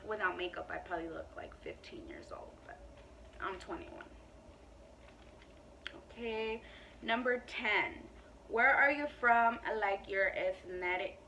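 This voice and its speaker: A woman's voice: mid-range voice, sharp tone, distant mic, slight background noise, poor audio. A woman in her twenties chatting casually to camera in a beauty Q&A, emphatic and full of "like" and "you know.". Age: 20s. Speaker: beauty vlogger.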